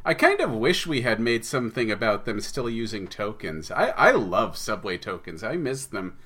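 A man's voice: on the low side, sing-song, moderate pace, fairly bright tone. A man speaking as an interview guest, explaining and telling stories in a conversational way. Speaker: podcast guest, audio drama writer.

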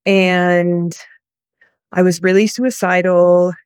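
A woman from the United States, telling her personal story as a guest in an interview, soft and reflective with many pauses. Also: on the low side, level tone, clean tone, no echo, studio quality.

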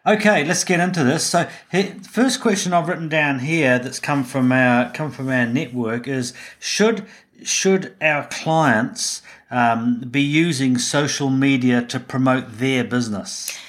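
A man's voice: medium pitch, measured pace, a bit bright. A middle-aged man with a New Zealand accent, speaking as the host of a podcast. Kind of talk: scripted intro and informal interviewing.

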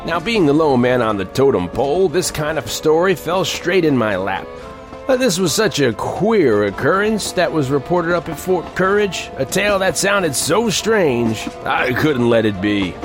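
An actor playing an older male reporter who narrates a strange frontier tale in an emphatic, deliberate storytelling manner. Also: a little high, sing-song. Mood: intrigued. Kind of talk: dramatic scripted first-person narration. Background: music bed.

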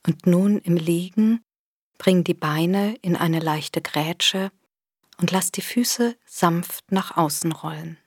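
A woman with a soft, breathy voice gently guiding a body scan meditation in a soothing manner. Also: on the low side, dry recording, clean studio sound. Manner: gentle, soothing, unhurried instruction with long pauses. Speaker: meditation guide. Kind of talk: guided body scan meditation, scripted narration.

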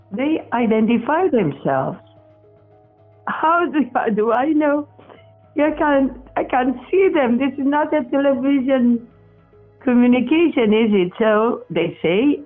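A speaker answers questions slowly, stumbling and restarting as they search for words. The audio is thin and phone-like. Faint music sits underneath. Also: high voice. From Portugal. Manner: halting, many restarts and self-corrections. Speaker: experimenter in spirit communication. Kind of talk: interview answers.

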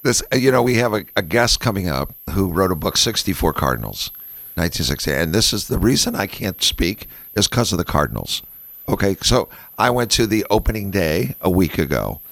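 Hoarse, gravelly man in his sixties. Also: sits low, sing-song, a little fast, fairly bright tone, dry recording. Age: 60s. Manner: chatty, laughing, self-deprecating. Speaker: radio co-host. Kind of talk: casual radio chat.

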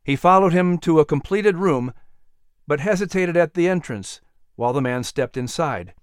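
A man's voice: on the high side, animated, normal speed, clean tone, no echo, studio quality. A man narrating a historical children's story in a dramatic, scripted audiobook style.

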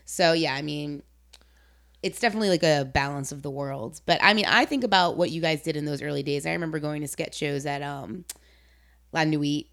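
A woman's voice: low-pitched, a little fast, gravelly, a bit bright. A woman hosting a casual interview, talking informally and giving her opinions with emphasis. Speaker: interview host.

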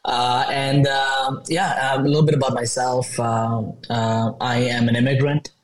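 A man in his thirties from Bangladesh, a podcast guest talking in a casual, upbeat way with many pauses and restarts. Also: medium pitch, level tone. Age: 30s. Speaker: podcast guest, tech company founder. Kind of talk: casual interview chat.

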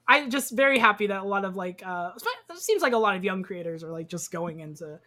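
A young woman with a high voice, chatting casually and expressively as a podcast guest, prone to giggling. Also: a little fast, bright, forceful tone. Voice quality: high-pitched voice. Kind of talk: casual chat.

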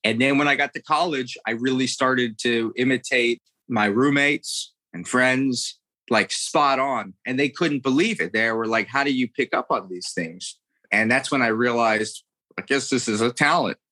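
A man's voice: medium pitch, normal speed, bright, forceful tone. A man in his thirties from the United States, an impressionist telling his story in an earnest, rambling way. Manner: earnest, rambling, repeats words. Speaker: impressionist guest. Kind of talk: interview storytelling.

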